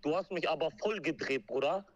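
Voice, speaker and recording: A man's voice: on the high side, brisk. A man on a phone call, chatting casually in street slang sprinkled with Arabic words. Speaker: phone caller. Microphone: phone call played into a stream. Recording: dull, band-limited phone call.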